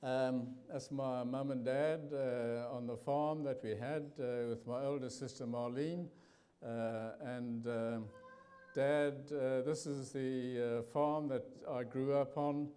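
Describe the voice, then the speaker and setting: South African man preaching, mellow voice. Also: mid-range voice, steady tone. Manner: halting, many fillers and restarts. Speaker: guest preacher. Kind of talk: church testimony talk.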